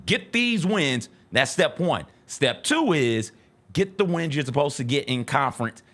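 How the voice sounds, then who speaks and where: A man's voice: on the high side, sing-song, a little slow, a bit bright. Man talking sports, casual and emphatic. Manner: emphatic, repeats points for stress. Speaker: sports talk show co-host.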